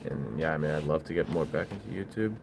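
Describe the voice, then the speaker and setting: Male livestream host, low register, unscripted and halting delivery, loose conversational phrasing, faint noise behind the voice. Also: gravelly. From Bulgaria. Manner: halting, rambling, informal. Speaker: livestream host, small business owner. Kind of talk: casual livestream chat answering viewers.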